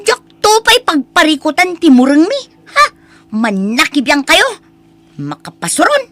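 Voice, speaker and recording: A woman with an Ilocano accent playing a sharp-tongued, headstrong young wife in an audio drama. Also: sits high, measured pace, no echo, heavily acted. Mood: angry, defiant. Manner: expressive, complaining.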